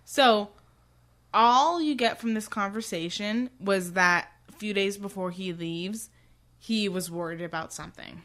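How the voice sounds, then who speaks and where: A woman's voice: mid-range voice, a little slow, fairly bright tone. A tipsy woman co-hosting a podcast, casually recapping a book with sarcastic, exasperated remarks and some swearing. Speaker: podcast co-host.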